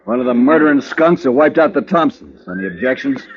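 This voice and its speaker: An American actor playing a blunt, sarcastic, belligerent cattle rancher in a 1950s radio western. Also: male voice, medium pitch, animated, a little fast, lightly acted. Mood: hostile, sneering. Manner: blunt, sneering. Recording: dull vintage radio recording.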